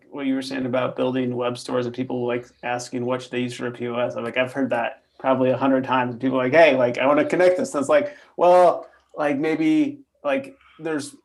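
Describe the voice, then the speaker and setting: A man's voice: mid-range voice, creaky, a little mellow. A man co-hosting a podcast, chatting casually and peppering his speech with "like.". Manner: casual, uses "like" a lot. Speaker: podcast co-host.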